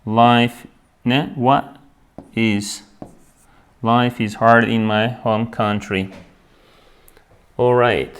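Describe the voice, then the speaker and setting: A man's voice: medium pitch, steady tone, slight background noise. A man teaching a beginner English grammar lesson, switching into to translate and checking "right?" after each point. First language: Turkish. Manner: slow, repeats each word, checks with "right?"; switches between English and Turkish.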